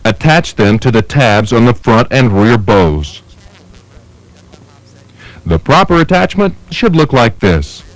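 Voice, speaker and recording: A man reading scripted instructional narration for a training film in a measured, methodical manner. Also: mid-range voice, sing-song, no echo, low-quality recording. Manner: measured, methodical, step-by-step delivery.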